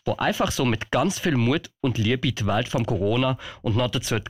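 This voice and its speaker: A man's voice: medium pitch, lively intonation, normal speed, slightly rough. A man with a Swiss German accent playing a kindly, flustered old Santa Claus in an amateur radio play. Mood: warm storytelling.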